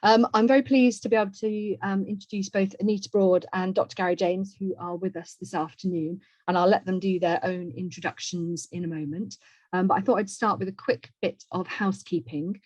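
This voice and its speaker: A woman's voice: mid-range voice, steady tone, fairly quick, darkish tone. A woman hosting an online webinar, talking through informal housekeeping in a chatty, organised way over a video call. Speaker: webinar host. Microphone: video call microphone. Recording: roomy, dull video-call audio.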